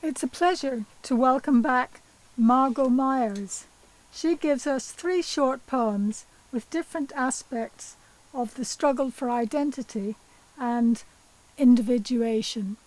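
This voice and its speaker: High-voiced woman presenter, unhurried. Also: darkish tone, low-quality recording. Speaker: podcast host. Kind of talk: scripted introductions.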